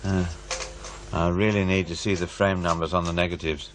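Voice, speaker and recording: An actor playing a dry, skeptical, busy paranormal researcher in naturalistic radio drama dialogue. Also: male voice, low-pitched, little pitch movement, moderate pace, a little hiss or hum, close to an everyday voice. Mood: dry skepticism. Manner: dry, questioning, unimpressed.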